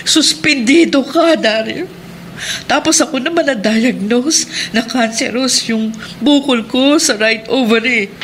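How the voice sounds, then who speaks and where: A distressed, high-voiced ailing wife. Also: female voice, a little slow, a bit bright. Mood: anguished. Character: policeman's worried, ailing wife facing cancer surgery. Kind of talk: radio drama acting. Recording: noisy radio drama with ambience.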